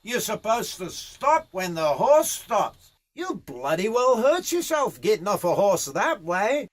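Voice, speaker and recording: A man performs a gruff, goading bushman boss from a memoir. The voice rides high and swings widely in pitch. He barks blunt, sweary orders at a drawn-out, unhurried pace. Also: very animated, full character voice. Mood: exasperated. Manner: loud, barking, swearing, blunt. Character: gruff, foul-mouthed Australian horse-riding boss, rough and goading.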